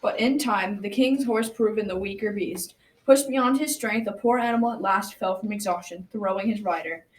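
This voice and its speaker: A folktale storyteller voiced by a teenage girl reading scripted narration for a school radio drama. Her mid-pitched voice sits away from the mic in a very echoey room with faint noise. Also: moderate pace, far from the mic, natural voice. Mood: telling.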